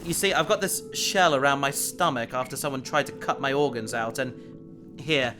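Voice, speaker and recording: A man's voice: medium pitch, normal speed, close to an everyday voice. A man playing an earnest, dramatic young human who is turning part alien, hesitant and polite, in an audio drama. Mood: awkward, sheepish. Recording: faint music behind the voice.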